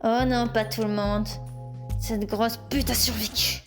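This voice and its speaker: A woman playing a resentful, foul-mouthed damned woman bent on revenge in an animated comedy fan dub. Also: a little high, unhurried, lightly acted. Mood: bitter. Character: bitter, vengeful damned woman in hell. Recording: music behind the voice.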